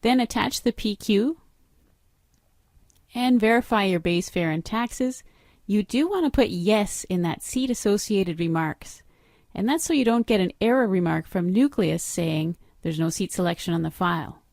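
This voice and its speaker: A woman's voice: medium pitch, lively intonation, normal speed, darkish tone, no room sound, studio quality. A middle-aged woman narrating a screen-recorded training walkthrough, explaining each step in a matter-of-fact instructional way. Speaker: software trainer.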